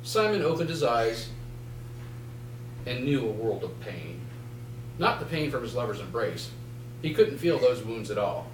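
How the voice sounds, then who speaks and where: The recording is roomy, with a faint hum of noise. A man with a middle-pitched voice reads dark fiction aloud like an author at a live event. He delivers it in deliberate, short phrases. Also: very animated, recorded from a distance. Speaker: author reading from own novel. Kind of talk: live dramatic prose reading. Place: indoor bookshop reading event.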